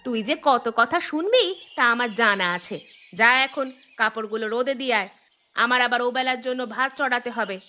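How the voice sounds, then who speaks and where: Woman as a sharp, high-voiced scolding mother. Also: brisk, soft background music. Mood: angry, scolding. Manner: sharp, nagging, sarcastic. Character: stern, scolding village mother. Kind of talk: acted audio story dialogue.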